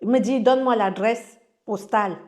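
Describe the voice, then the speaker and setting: A woman's voice: fairly high pitch, moderate pace. A woman from Cameroon with a Cameroonian French accent, sharing a personal testimony in casual storytelling, with frequent fillers and restarts as she relays what others said to her.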